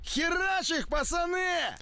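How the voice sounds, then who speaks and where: A man's voice: extremely high pitch, slow pace, bright, forceful tone, full character voice. An actor playing an aggressive male soldier barking battle orders in a video game. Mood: fierce, urgent. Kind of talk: video game combat bark.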